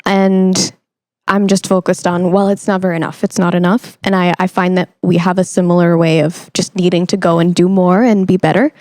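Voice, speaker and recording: A woman's voice: on the low side, little pitch movement, moderate pace, mellow, dry recording, clean studio sound. A young woman hosting a podcast interview in a conversational, reflective way, thinking aloud as she phrases her questions. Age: young adult. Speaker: podcast host.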